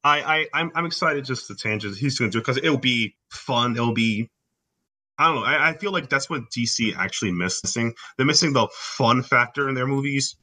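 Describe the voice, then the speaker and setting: A man's voice: medium pitch, normal speed, sharp tone. A man with a Haitian accent co-hosting a podcast, chatting casually and explaining things at length with enthusiasm. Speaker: podcast co-host. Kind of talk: casual chat.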